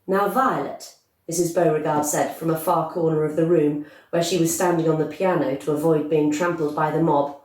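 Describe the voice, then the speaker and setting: A woman reading a children's story aloud in an expressive, measured, emphatic narrating style. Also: low-pitched. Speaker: story reader. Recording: echoey room, distant microphone.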